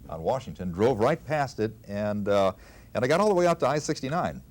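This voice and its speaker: An older man, a radio veteran, telling an anecdote off the cuff in a halting, conversational way. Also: medium pitch, big pitch swings, a little mellow. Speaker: radio veteran, former program director. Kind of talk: unscripted reminiscing, storytelling.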